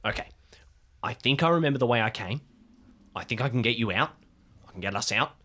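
A man casually improvising narration and character dialogue for a tabletop game, with halting phrasing. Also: medium pitch, expressive, bright, forceful tone. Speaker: tabletop role-play participant.

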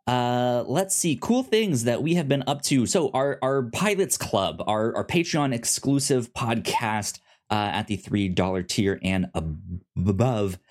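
Man, podcast host, casual chat, repeats syllables and words, mid-pitched voice. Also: lively intonation, unhurried, a little mellow. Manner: stammers, repeating sounds and words.

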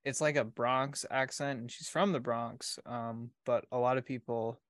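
A man's voice: medium pitch, steady tone, unhurried, dry recording. A man co-hosting a casual podcast, easygoing and teasing, laughing often and hedging with fillers.

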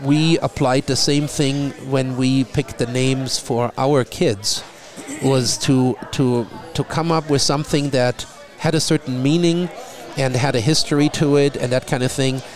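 A middle-aged man from Germany with a German accent, talking in a relaxed conversation and often repeating a word as he starts a thought. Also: mid-range voice, measured pace, soft background music. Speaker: guest. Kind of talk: casual conversation.

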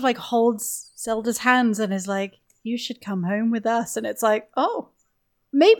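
A woman chatting casually as a podcast guest, in an informal, playful, expressive way. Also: on the high side. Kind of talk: casual chat.

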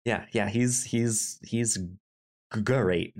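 A man co-hosting a casual review podcast, speaking emphatically with frequent stammered, halting starts. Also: on the low side, a little mellow. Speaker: podcast co-host.

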